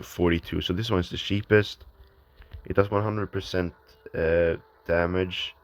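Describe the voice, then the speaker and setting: Flat, very deep-voiced man musing over gameplay. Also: vocal fry. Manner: hesitant, many ums and restarts, thinking aloud. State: voice a bit off, early morning. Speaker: gamer. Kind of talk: casual gameplay commentary. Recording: noisy home recording with clicks.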